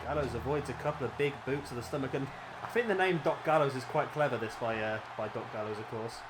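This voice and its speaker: A man, his voice a little hoarse, doing casual play-by-play commentary over a wrestling video game, rambling with fillers and correcting himself. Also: mid-range voice, fast talker, heavy background noise. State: slightly hoarse, losing his voice. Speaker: gameplay commentator.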